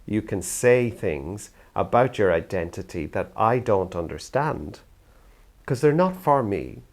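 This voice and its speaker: Low-voiced older Irish man. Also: lively intonation, a little slow. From Ireland. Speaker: youth theatre practitioner. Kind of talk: reflective talk to camera.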